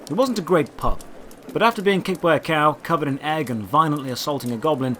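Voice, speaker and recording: A man narrating a scripted fantasy adventure in the first person, with deliberate, theatrical storytelling delivery. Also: on the high side, a bit bright, a little hiss or hum. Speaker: narrator and writer of the series.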